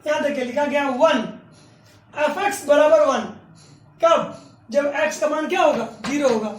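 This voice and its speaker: A male math teacher giving a classroom lecture, dictating slowly and asking then answering his own questions. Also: extremely high pitch. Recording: roomy echo from a distance.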